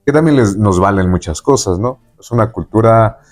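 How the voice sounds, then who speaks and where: Deep-voiced Mexican man hosting an interview. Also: animated, normal speed, soft background music. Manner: rambling, often checks in with tag questions. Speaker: podcast host interviewing a guest. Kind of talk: conversational interview.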